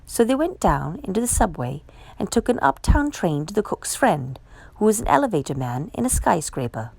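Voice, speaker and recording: A woman reading a children's picture book aloud as a storyteller, with expressive, measured delivery. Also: medium pitch, creaky, no echo. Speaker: storytime reader.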